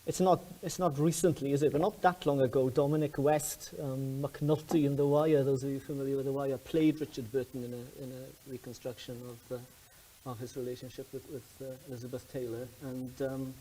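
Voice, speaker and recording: A man's voice: medium pitch, little pitch movement, brisk, hardly any pauses, dark tone, rough recording. A man interviewing on stage, thoughtful and hesitant, with many fillers.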